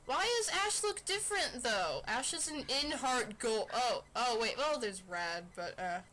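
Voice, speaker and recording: A woman doing a playful mock dramatic reading of fan fiction, deliberately stilted, with asides and computer fan noise behind her. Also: fairly high pitch, expressive, pushed and bright, poor audio. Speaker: amateur reader of fan fiction.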